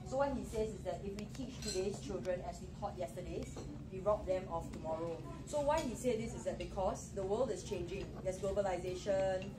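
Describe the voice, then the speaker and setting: A woman's voice: medium pitch, brisk, few pauses, recorded from a distance, background noise. A woman from Singapore with a Singaporean accent, presenting a math-teaching seminar and often checking in with her listeners with "okay?". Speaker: education presenter.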